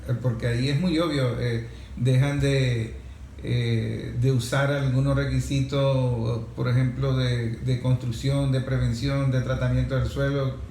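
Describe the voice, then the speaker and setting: A man's voice: mid-range voice, flat delivery, a little slow, gravelly, warm tone. A man with a Venezuelan accent hosting a conversational interview, asking questions and explaining points in everyday words. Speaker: interviewer, host. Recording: echoey room, faint background noise.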